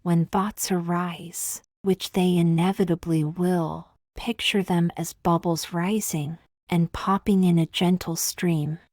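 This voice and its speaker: A woman leading a scripted guided meditation in a soothing, gentle, measured way, with long pauses and soft, breathy phrase endings. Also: fairly low pitch, fairly even intonation, no room sound, clean studio sound.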